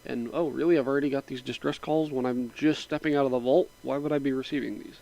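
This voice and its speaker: A man with a slightly raspy voice from a sinus infection, casually narrating a step-by-step walkthrough at his computer, rambling a little with fillers. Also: medium pitch, quick pace, low-quality recording. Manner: relaxed, rambling, with frequent fillers. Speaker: mod tutorial narrator. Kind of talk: casual screen-recording walkthrough.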